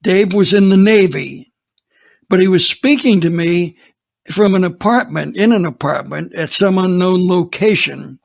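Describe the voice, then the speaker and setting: Creaky high-voiced man in his seventies. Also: unhurried. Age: 70s. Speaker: producer and director. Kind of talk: reflective storytelling to listeners.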